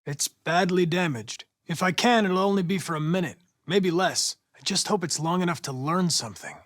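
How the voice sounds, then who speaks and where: A young man acting as a polite, analytical android police investigator in a video game, speaking in a precise, formal, measured way. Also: high voice, natural voice. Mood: polite, focused. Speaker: android detective.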